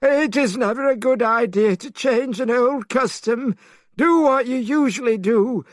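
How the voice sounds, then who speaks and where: A man's voice: very high voice, unhurried, no echo, clean studio sound, voice pushed up. A man voicing a foolish, cowardly pig creature who whines and complains, in a storybook reading. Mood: whiny. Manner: whiny, complaining.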